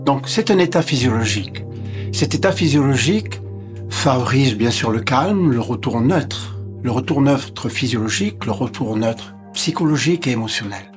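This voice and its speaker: A man whose first language is English, speaking as a physician guest and explaining step by step in a measured way that lists and repeats points. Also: medium pitch, animated, faint music behind. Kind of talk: explanatory interview talk.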